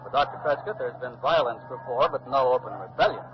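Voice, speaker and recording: An actor playing a courteous, probing male field reporter in a measured 1940s radio news style. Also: medium pitch, natural voice. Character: brisk, probing male newsman reporting live from colonial town. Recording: dull, noisy old 1940s radio recording.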